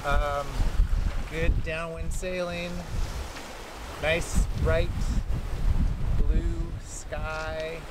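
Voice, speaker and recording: A young man hosting a sailing vlog, chatting casually with lots of fillers from his boat at sea. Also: fairly high pitch. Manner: relaxed, halting, many fillers. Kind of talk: casual chat to camera. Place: on a sailboat at sea, outdoors. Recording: wind and engine noise at sea.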